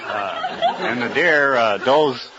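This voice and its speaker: A man who is a game warden answers as a radio quiz contestant, earnest and stiff, halting with many uhs. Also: mid-range voice, wildly swooping pitch, heavy background noise. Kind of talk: hesitant guest answers. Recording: dull archive radio recording.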